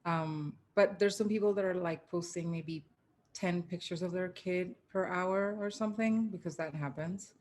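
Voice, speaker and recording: A woman's voice: fairly low pitch, normal speed, a little mellow. A woman co-hosting a podcast, chatting casually, with a little noise in the recording. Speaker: podcast co-host. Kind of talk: casual conversational panel chat.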